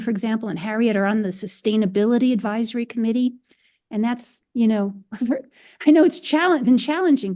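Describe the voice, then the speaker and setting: A woman's voice: fairly high pitch, dark tone. A woman speaking earnestly in an online community meeting, encouraging members and often repeating her first words. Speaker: group member on a city committee. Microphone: video call.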